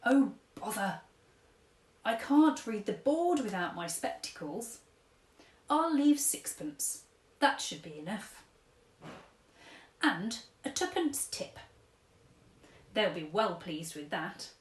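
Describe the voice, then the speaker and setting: A woman reading as a kindly old tramp with an old-fashioned, gentle way of speaking. He is slow and patient. The room behind him has a noticeable echo. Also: mid-range voice, very animated. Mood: cheerful. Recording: echoey room.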